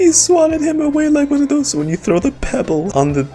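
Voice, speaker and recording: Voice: high voice, very animated, fairly quick, warm tone, soft background music. A streamer giving casual, slangy reaction commentary on a game, joking and swearing.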